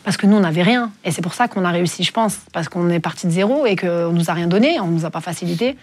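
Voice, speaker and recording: Husky, low-voiced woman in her forties. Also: fast. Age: 40s. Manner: reflective, soft-spoken with frequent hesitations. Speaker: guest singer. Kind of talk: candid interview talk.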